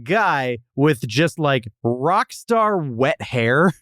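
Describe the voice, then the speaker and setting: A man's voice: fairly high pitch, very animated, very slow, fairly bright tone, dry recording, studio quality. A man co-hosting a comic podcast, chatting casually in a joking, sarcastic way. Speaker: podcast co-host. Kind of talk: casual comic review chat.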